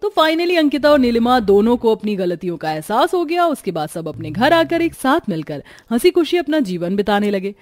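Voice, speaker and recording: A woman's voice: a little high, big pitch swings, normal speed, few pauses, darkish tone, no echo, faint music behind. A woman narrating a scripted moral story as a storyteller.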